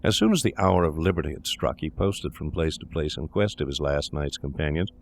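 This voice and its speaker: A man narrates a gothic literary tale in a deep, low voice. He reads as a storyteller from a script. Faint noise and ambience lie behind him. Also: lively intonation, fairly quick, gravelly. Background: eerie ambience.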